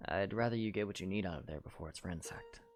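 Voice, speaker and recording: A man voicing a young male hero in a fan audio drama, earnest and protective, speaking gently and with care. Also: deep voice, soft background music, lightly acted. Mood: practical. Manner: gentle, caring, measured. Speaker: voice actor.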